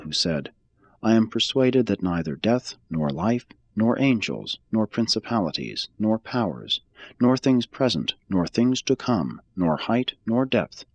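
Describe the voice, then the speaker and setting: Male narrator, slightly low pitch, widely varied intonation, solemn measured reading, dry close sound. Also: no room sound. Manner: measured, solemn, formal delivery. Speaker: reader of a religious text. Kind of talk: scripted read-aloud, audiobook narration.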